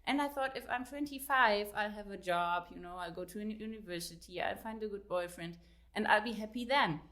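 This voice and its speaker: A woman's voice: mid-range voice, fairly quick, a bit bright. A woman in her late thirties with a German accent, chatting as a guest in an interview. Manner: chatty, many fillers, laughs easily. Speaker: guest author. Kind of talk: casual interview chat.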